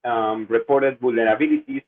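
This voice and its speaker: A man's voice: medium pitch, fairly even intonation, normal speed. Ecuadorian man presenting over tinny audio. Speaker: security researcher. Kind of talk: conference presentation. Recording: narrow phone-like audio.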